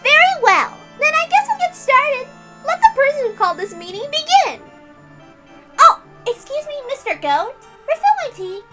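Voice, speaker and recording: Gleeful young girl detective, extremely high, bright, swooping, imperious, theatrical. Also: sing-song, moderate pace, faint music behind, exaggerated voice. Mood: gleeful, commanding. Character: bossy, gleeful young girl detective making a grand accusation. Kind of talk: animated visual novel character reading.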